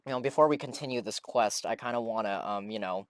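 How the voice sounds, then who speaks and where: A man's voice: on the low side, fast, no room sound. A man livestreaming a game, chatting casually and rambling as he muses aloud to his viewers. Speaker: game streamer. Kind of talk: casual livestream chat while playing.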